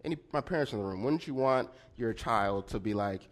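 A man telling a personal story in a sermon, with a slightly nasal voice and animated delivery, often checking in with his listeners. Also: a little fast. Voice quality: slightly nasal and deeper than usual. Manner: animated storyteller with frequent "right?" check-ins. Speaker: preacher.

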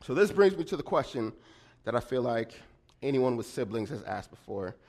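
A man telling a personal story in a sermon, with a slightly nasal voice and animated delivery, often checking in with his listeners. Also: normal speed. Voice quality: slightly nasal and deeper than usual. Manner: animated storyteller with frequent "right?" check-ins. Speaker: preacher.

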